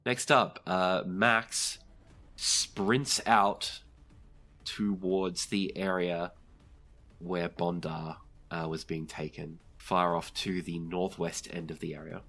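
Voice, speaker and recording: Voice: low-pitched, steady tone, slow, fairly bright tone, soft background music. A game master with an easygoing, conversational manner, narrating a tabletop roleplaying game.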